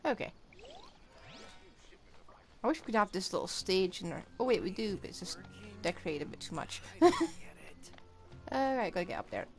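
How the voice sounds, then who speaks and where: A woman's voice: mid-range voice, very animated, normal speed, long pauses, a little mellow, faint music behind. A woman giving casual live commentary on a game she is playing, with offhand, wry asides. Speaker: game streamer.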